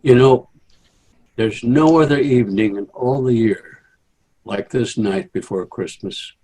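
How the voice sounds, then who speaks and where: A man playing a folksy, friendly announcer of old-time radio, with a husky, mellow voice. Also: mid-range voice, unhurried, close to an everyday voice, rough recording. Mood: friendly, cosy.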